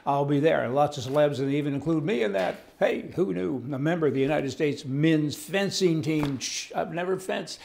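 A man's voice: on the high side, moderate pace, creaky, hardly any pauses, a little mellow, slight background noise. An older man, giving indignant, rambling, folksy news commentary as host, reading to camera on an indoor studio set. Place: indoor studio set with wood-panel wall.

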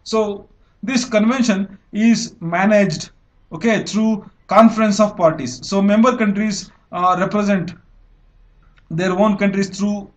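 High-voiced Indian teacher explaining slides. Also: male voice, steady tone, measured pace. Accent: Indian English. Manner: explains step by step, frequent fillers and self-corrections. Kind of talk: exam-prep lecture over slides. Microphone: voice-over recorded over screen annotation.

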